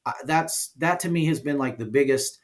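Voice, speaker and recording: A man with a slightly high voice speaks as an interview guest. His talk is casual and explanatory, with informal, halting phrasing as he pieces his thoughts together. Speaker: guest, indie game developer and producer.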